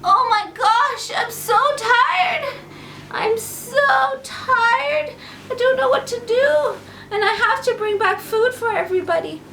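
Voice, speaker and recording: A woman's voice: very high-pitched, takes it slowly, bright, forceful tone, voice pushed up, heavily acted, rough recording. A woman voicing a dramatic, earnest young hero of a bedtime story, plaintive and full of exclamations. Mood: exhausted whining.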